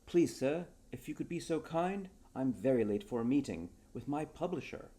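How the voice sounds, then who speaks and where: A man voicing a polite, learned English gentleman writer in a storybook reading, formal and earnest. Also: mid-range voice, lively intonation, moderate pace, voice pushed up, lightly acted. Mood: polite, pleading.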